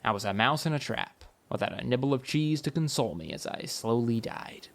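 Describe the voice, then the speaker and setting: A man narrating a first-person comic fantasy audiobook in a wry, expressive storytelling manner. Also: mid-range voice, brisk.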